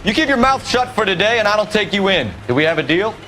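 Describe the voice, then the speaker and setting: A man acting a stern, driven police detective questioning suspects in a video game drama, forceful and accusatory. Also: sits high, very animated, fast, slight background noise, exaggerated voice. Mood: stern, pressing.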